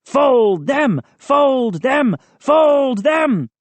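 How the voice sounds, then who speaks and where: A woman's voice: fairly high pitch, takes it slowly, no room sound, voice pushed up, heavily acted. A woman voicing a small, mischievous male gnome, gleeful and taunting, in a storybook reading. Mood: chanting glee. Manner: chanting, excitable, taunting.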